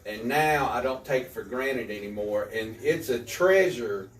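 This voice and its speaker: A man's voice: mid-range voice, animated, measured pace. Earnest man in his fifties, echoey room. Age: 50s. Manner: earnest, repeats words often. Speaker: author. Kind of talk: casual interview. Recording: echoey room, distant mic.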